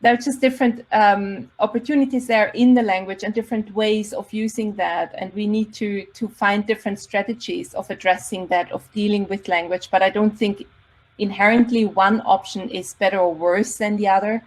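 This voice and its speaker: A woman speaks on a panel like a teacher explaining to students. She is measured and patient, with an um here and there. Her pitch is an ordinary middle one. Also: steady tone, poor audio. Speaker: linguist, teacher. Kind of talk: online panel discussion.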